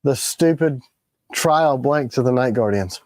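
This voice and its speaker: Raspy guy on a morning livestream, sounds tired, very dry sound, walks you through product details. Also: mid-range voice, a little slow, darkish tone, no echo. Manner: explains product details while showing items. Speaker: co-host of a knife company livestream. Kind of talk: casual live chat about products.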